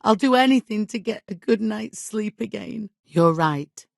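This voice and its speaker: An actress playing a middle-aged medieval village widow in a video game, devout and guilt-ridden, with an exasperated, imperious and plaintive manner. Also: female voice, mid-range voice, lively intonation, a little slow, vocal fry, no echo, studio quality. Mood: guilty, remorseful. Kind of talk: dramatic video game dialogue acting.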